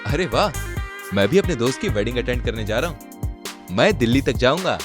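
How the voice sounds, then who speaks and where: A man playing an excitable, easily frightened young train passenger in an animated horror story, speaking expressively. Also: medium pitch, a little fast, natural voice. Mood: cheerful. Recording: loud music bed under voice.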